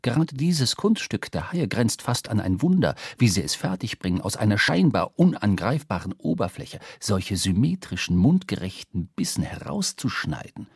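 A man narrating a literary sea tale for a radio drama, measured, with dramatic emphasis. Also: on the low side, very animated, husky, no echo, clean studio sound.

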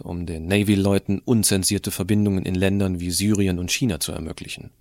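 Man as low-voiced teenage student narrator, dry sound. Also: no echo. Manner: explanatory, colloquial teenage storytelling. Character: tech-savvy rebellious male high school student telling his story. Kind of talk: scripted audio drama narration.

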